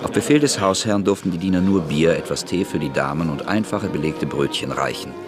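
A male narrator reading a radio play in a calm, dry manner. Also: low-pitched, fairly quick, over music. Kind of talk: scripted narration.

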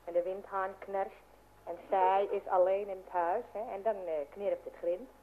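An actress playing a chatty, earnest young housemaid in a radio comedy. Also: female voice, mid-range voice, flat delivery, moderate pace, close to an everyday voice. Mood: earnest, relishing. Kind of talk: old radio comedy-drama acting. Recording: tinny, noisy old radio recording.

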